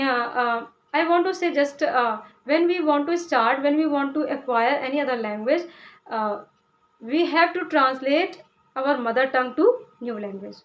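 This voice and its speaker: Young indian woman w/ hindi accent, pretty high voice, lots of uh's and restarts, keeps asking okay?. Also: highs cut off. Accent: Indian (Hindi speaker). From India (Uttar Pradesh). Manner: hesitant, many "uh" fillers and restarts, asks "okay?". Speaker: English-learning vlogger. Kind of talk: casual explanatory talk to camera.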